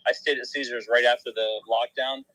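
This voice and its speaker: A man chats on a podcast in a halting way, stumbling through false starts as he agrees with his partner. His voice sits at a middle pitch. The recording is dry and close, with no room sound. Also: little pitch movement, a bit bright, no room sound, low-quality recording. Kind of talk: casual conversation.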